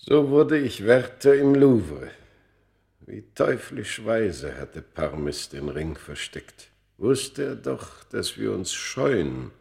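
A man's voice: low voice, vocal fry, a little mellow, exaggerated voice. An actor playing a cursed, weary ancient Egyptian man in a solemn, theatrical German radio drama style. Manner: theatrical, solemn, weighty delivery.

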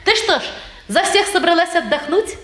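A playful, bossy young woman with a high, swooping voice. She teases and declaims grandly in old Soviet stage style, in a roomy theatre recording. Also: very animated, moderate pace, a crowd in the background. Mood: teasing, brisk. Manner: mock-grand declaiming, teasing. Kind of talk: 1950s Soviet stage drama acting.

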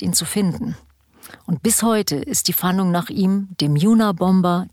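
A woman with a husky, breathy voice presenting a scripted true-crime introduction in a suspenseful storytelling manner. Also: on the low side, darkish tone, no echo, studio quality. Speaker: podcast presenter.

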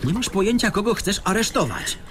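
A man acting in a radio play as a cornered gangster, mocking and easily panicked, with an excitable, taunting delivery. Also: high voice, brisk, noisy background. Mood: tense, defiant.

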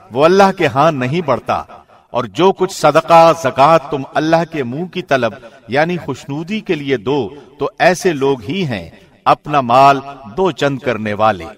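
Man, radio-host reader, scripted reading, measured formal delivery, slightly high voice. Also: animated.

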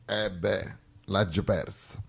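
A man's voice: deep voice, fairly even intonation, slow pace, creaky, breathy edge, full character voice. A man playing the South personified as a weary, plaintive one-legged underdog, plodding and stubborn in a comic stage dialogue. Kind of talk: theatrical comic monologue dialogue.

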